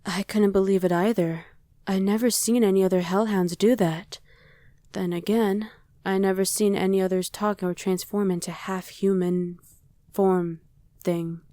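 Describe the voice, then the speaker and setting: A woman's voice: medium pitch, fairly even intonation, measured pace, mellow, dry recording, close to an everyday voice. A woman playing a casual young female survivor of a demon invasion in an ASMR roleplay. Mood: casual, explaining. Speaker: voice actor.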